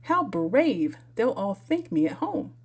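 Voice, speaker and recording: A woman's voice: mid-range voice, big pitch swings, slow, husky, full character voice. A woman voicing a curious, chatty young girl who muses aloud to herself in a storybook reading. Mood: musing. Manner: musing aloud, self-questioning.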